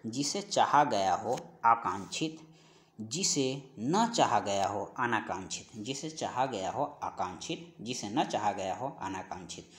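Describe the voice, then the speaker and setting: A man teaching an exam-prep grammar lesson, reading out questions and answers and repeating each one, slowing down on key phrases. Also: fairly low pitch, animated, a bit bright.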